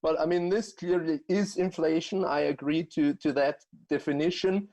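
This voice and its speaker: A man from Austria with an Austrian accent, answering interview questions as a guest economist in a halting way, full of fillers and restarts. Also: a little high, steady tone, a little mellow. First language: German.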